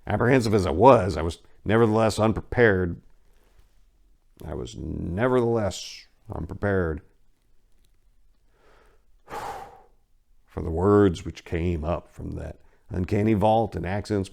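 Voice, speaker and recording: A man giving a theatrical, brooding dramatic reading of a first-person horror story, phrasing it deliberately. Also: low voice, vocal fry, darkish tone. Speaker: narrator.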